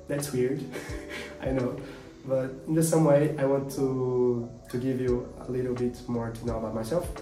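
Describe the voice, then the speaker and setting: A man's voice: medium pitch, warm tone. Young Portuguese-accented man vlogging. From Brazil. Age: 20s. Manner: earnest, halting, searching for words. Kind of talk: casual vlog to camera. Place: small home office. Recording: roomy, a bit distant, music behind.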